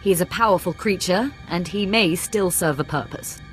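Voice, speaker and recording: A proud crime syndicate leader acted by a woman in a video game. Her voice is somewhat low, with a commanding, formal, deliberate delivery over clear background music. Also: natural voice. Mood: formal, composed. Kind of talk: video game character acting.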